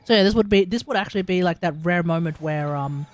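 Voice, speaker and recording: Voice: mid-range voice, quick pace, no echo. A game livestreamer giving casual live commentary on gameplay, with playful exclamations and muttered asides.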